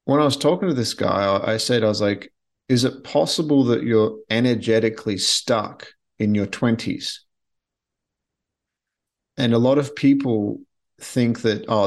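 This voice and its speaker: A man hosting a podcast, talking bluntly and with strong opinions in a casual monologue, often restarting his sentences. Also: on the low side. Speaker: podcast host.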